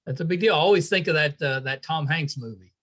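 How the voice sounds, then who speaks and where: A man's voice: on the high side, quick pace, a bit bright. A man hosting a casual interview, chatting as he asks questions. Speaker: podcast host interviewing a band.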